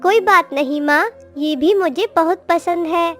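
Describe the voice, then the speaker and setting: A woman's voice: very high-pitched, unhurried, a bit bright, soft background music. A woman voicing a sweet, devoted young daughter bird in a moral-story cartoon, with a tender, earnest manner. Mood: accepting.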